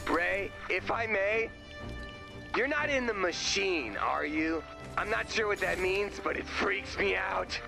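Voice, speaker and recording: A man's voice: high-pitched, animated, slow, vocal fry, over music, exaggerated voice. An actor playing an odd, nervous but earnest male sidekick in a video game, speaking hesitantly. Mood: uneasy. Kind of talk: video game character voice acting.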